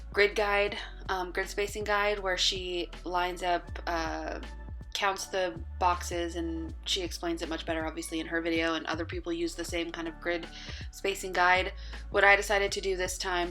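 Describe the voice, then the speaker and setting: A woman with a fairly low voice talks loosely through her planner pages, filling pauses with ums and uhs. Her voice is a little worn, as if she is losing it. Faint music plays underneath the voice-over. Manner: relaxed, chatty, with many ums and uhs. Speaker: bullet journal vlogger. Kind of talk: casual voice-over walkthrough.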